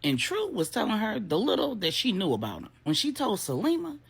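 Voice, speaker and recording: Husky, animated woman storyteller. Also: on the high side, fairly quick. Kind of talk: animated story narration, casual and colloquial.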